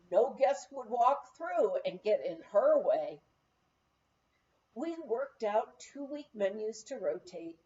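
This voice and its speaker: A woman narrates a memoir in a high, very animated voice. She takes her time over the sentences, and the tone is soft-edged and mellow. The recording is slightly dull. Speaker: audiobook reader. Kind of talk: expressive memoir reading.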